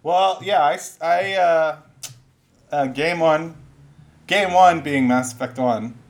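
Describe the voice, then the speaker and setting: A man's voice: fairly high pitch, slow. Teasing young man, casual podcast banter. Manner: teasing, joking, swears freely. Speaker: podcast co-host. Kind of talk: casual chat.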